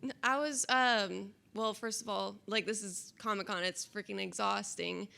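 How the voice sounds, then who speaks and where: A woman's voice: mid-range voice, steady tone, normal speed, vocal fry, sharp tone. A woman from the audience speaking casually and personally at a convention panel. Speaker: audience member asking a question. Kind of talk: casual, personal fan question. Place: convention panel question line.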